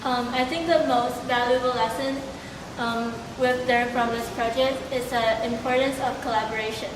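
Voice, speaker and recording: A woman's voice: on the high side, fairly even intonation, moderate pace. A young woman giving considered answers in an informal interview about her work. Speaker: student puppeteer being interviewed. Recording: echoey, distant, noisy room.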